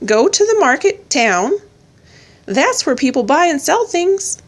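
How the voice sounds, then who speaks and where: A woman voicing a brisk, practical mother in a storybook, matter-of-fact in tone. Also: high voice, big pitch swings, voice pushed up.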